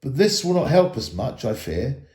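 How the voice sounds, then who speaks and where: A man's voice: medium pitch, very animated, normal speed, mellow, roomy sound, close to an everyday voice. A man voicing a proud, stern dwarf heir of an old line in a storybook, with formal, archaic phrasing. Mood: lofty, troubled.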